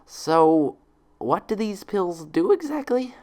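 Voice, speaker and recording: A man's voice: high voice, sing-song, slow, a little mellow, voice pushed up, exaggerated voice. A man voicing a young female unicorn patient in an audiobook-style story, dry, sarcastic and anxious. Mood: wary. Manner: dry, sarcastic, self-pitying.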